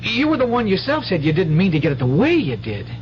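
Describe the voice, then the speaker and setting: A man's voice: high-pitched, huge pitch swings, quick pace, soft background music. An actor playing a cautious, kindly teenage country boy with hesitant, folksy speech in a radio drama. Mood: uneasy. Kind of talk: 1970s radio drama acting. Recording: dull, hissy old radio broadcast.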